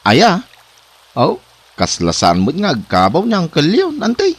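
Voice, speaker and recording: A man's voice: on the high side, huge pitch swings, a little slow, husky, exaggerated voice. Man acting a wildly sing-song chatty nephew. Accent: Ilocano. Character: talkative, matter-of-fact nephew. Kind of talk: Ilocano comedy radio drama acting.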